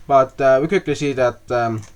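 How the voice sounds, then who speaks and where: A young man casually narrating a map video, halting often with fillers and restarting words as he goes. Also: mid-range voice, clear, resonant tone. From half Russian. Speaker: mapping video creator.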